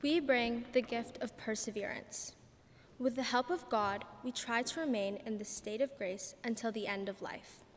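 A teenage girl with a fairly high voice reads out a student speech. The church sound is very reverberant, with a faint rumble underneath. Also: moderate pace. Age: teenager, about 14. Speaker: graduating student speaker. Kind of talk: reading a prepared ceremony speech. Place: large church, indoors. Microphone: podium microphone.

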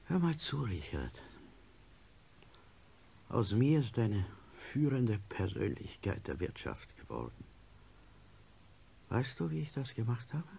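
An actor playing an ailing, stubborn male industrialist in a radio play, gravelly and husky, speaking with deliberate, sardonic insistence. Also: medium pitch, wildly swooping pitch, mellow, slight background noise, full character voice. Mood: defiant, probing. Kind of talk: German radio play acting.

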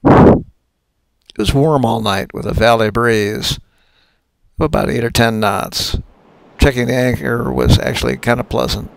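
An older man, a sailing captain, narrating his own trip video in a relaxed, folksy way with some fillers. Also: mid-range voice, a little slow, gravelly, pauses often, clear, resonant tone, dry recording, low-quality recording.

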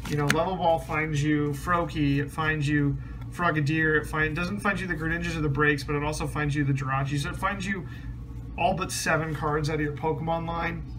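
Guy explaining his card deck off camera, kinda high voice, lots of ums, room hum behind him. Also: a little fast. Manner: relaxed, rambling, many ums and restarts. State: slightly losing his voice, clears throat. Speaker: card game deck reviewer. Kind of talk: casual deck profile explanation.

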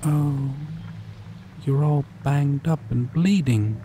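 A man voicing a shy, caring male dragon in an ASMR roleplay, talking softly and reassuringly. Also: a little high, slight background noise. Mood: gentle. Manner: soft, reassuring, slow and hesitant. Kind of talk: ASMR roleplay audio drama.

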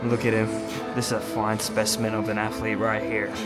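A man's voice: fairly low pitch, monotone, fairly quick, natural voice. Young man putting on a mock nature-documentary narrator, teasing, announcer-like, gym, music underneath. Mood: mock admiring. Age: young adult. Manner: mocking, announcer-like admiration. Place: indoor gym.

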